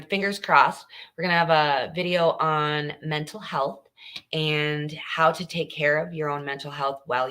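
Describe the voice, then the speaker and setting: A woman's voice: deep voice, a bit bright. A woman hosting a true crime livestream podcast, talking casually and earnestly with lots of ums. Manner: earnest, chatty, with many fillers.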